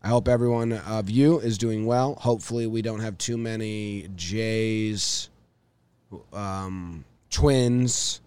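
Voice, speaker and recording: A man with a hoarse voice hosting a casual sports podcast from a studio, joking and telling animated stories. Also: on the low side, takes it slowly. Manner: jokey, animated storytelling. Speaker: podcast host.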